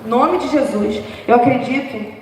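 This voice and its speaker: A young woman preaching an informal sermon, chatty and humorous, laughing at herself, with a hoarse voice. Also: fairly high pitch, normal speed, slight background noise. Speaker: preacher from the dance ministry. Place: large church hall. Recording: echoey church hall from far off.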